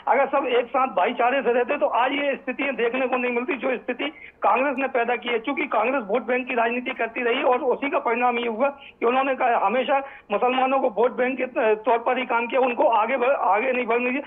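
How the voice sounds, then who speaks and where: Very high-pitched man on tinny phone line. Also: steady tone. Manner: halting, repetitive, with many self-corrections. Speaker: news correspondent. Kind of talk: live unscripted news report. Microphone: phone call.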